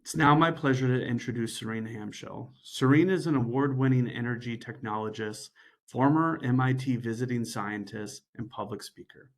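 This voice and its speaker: A man's voice: medium pitch, normal speed. A man hosting a webinar, reading a formal, scripted introduction of the speaker. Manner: formal, scripted, quieter than usual. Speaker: webinar host.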